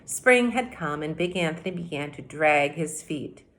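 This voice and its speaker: Middle-aged female teacher, lowish voice, highly varied melody, read-aloud lesson for kids. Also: a little slow, a little hiss or hum. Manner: animated, explanatory, addressing children directly. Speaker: elementary teacher. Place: indoor room at home by a window.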